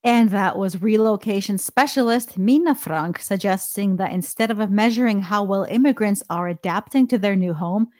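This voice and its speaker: Woman news co-host, mid-pitched, crisp even reads, dry studio sound. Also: normal speed, few pauses, no room sound. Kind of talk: scripted news reading and casual banter.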